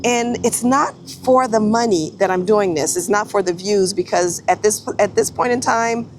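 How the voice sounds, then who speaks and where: A woman's voice: mid-range voice, normal speed, a little hiss or hum. A woman in her forties, a photographer vlogging in a casual, chatty way, often correcting herself and restarting sentences. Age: 40s. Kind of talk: casual talking-head vlog.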